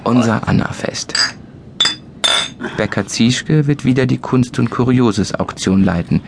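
An actor playing a dry, wry village narrator in a radio play, delivering short list-like phrases deadpan. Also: male voice, mid-range voice, measured pace, gravelly, heavy background noise. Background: loud music.

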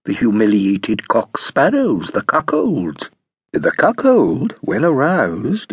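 Urbane British storyteller, swooping expressive pitch, creaky husky voice, theatrical, amused, audiobook narration. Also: male voice, dry recording, exaggerated voice. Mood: amused relish. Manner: relishing, theatrical, deliberate phrasing. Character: urbane, amused nephew narrating his uncle's legacy.

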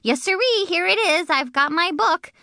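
A woman voicing a cheeky, chatty little schoolgirl in an audiobook, with a chirpy, drawn-out delivery. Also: extremely high pitch, lively intonation, sharp tone, no echo, clean studio sound, pitched above the performer's own voice, full character voice. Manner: sassy, chirpy, drawn-out words. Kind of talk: audiobook character reading.

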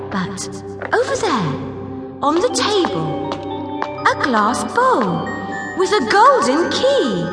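An excitable, curious young girl adventurer for a children's storybook drama. She speaks slowly and very high, exclaiming in wonder to herself. The voice sits in a roomy echo. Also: full character voice. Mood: puzzled. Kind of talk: dramatised children's audiobook acting.

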